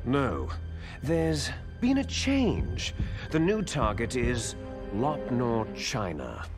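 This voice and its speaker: An arrogant, widely swooping villain boss. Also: male voice, mid-range voice, huge pitch swings, drawn-out words, over music, heavily acted. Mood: cold, commanding. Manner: commanding, theatrical, contemptuous. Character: arrogant, cunning male terrorist boss scheming for power. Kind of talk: dramatic video game cutscene acting.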